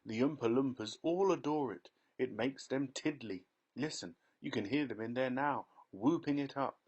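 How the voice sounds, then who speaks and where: Man doing an excitable eccentric chocolatier. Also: mid-range voice, normal speed, breathy edge, muffled recording, lightly acted. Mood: brisk excited. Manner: loud, bright, triumphant exclamations. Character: excitable eccentric male chocolatier, brisk and showy.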